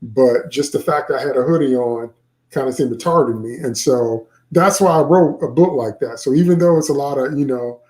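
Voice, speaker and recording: A middle-aged man speaks as an author in an informal talk. His voice is warm and mellow, and he stresses his points by repeating phrases. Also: medium pitch, poor audio. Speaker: author presenting his book. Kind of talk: informal talk to viewers about his book.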